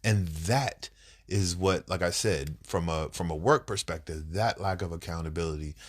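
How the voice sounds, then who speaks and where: Congested deep-voiced man, nasal. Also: moderate pace. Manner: emphatic, rambling, blunt. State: congested, under the weather. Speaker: podcast co-host. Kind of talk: casual couples podcast chat.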